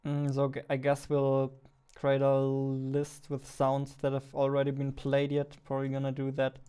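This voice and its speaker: A man's voice: medium pitch, completely flat delivery, normal speed, warm tone, clean tone. A young man giving casual work-along commentary, thinking aloud in a joking, self-mocking way at his desk. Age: young adult. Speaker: indie game developer vlogging. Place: indoors at a computer desk.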